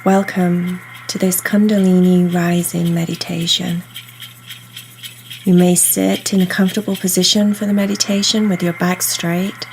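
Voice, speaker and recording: A woman guiding a meditation in a soothing, unhurried, instructional way, leaving pauses between phrases. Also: fairly low pitch, little pitch movement, a little hiss or hum, rough recording. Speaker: meditation guide. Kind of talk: guided meditation narration. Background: soft ambient meditation music.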